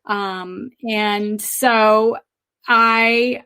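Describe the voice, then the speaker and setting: A woman with an American accent, co-hosting a casual podcast and talking in a reflective, measured way with frequent pauses and ums. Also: medium pitch, steady tone, bright, forceful tone, smooth. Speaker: podcast co-host.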